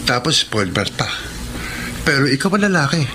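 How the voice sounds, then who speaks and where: A man's voice: mid-range voice, very animated, moderate pace, background noise, natural voice. A man acting a brash, teasing young buddy in a radio drama, with a Filipino accent. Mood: teasing, egging on.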